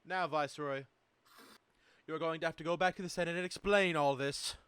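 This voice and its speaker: A man's voice: on the high side, steady tone, fairly quick, fairly bright tone, clean tone, dry recording. A man reading character lines in an amateur comedic script reading. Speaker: cast reader in a group script reading.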